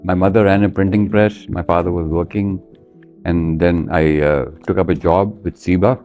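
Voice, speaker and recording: A man's voice: sits low, steady tone, moderate pace, a little mellow, muffled recording, soft background music. A man in his seventies from India with an Indian accent, telling his life story conversationally as an interview guest, in a plain-spoken, anecdotal way. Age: 70s.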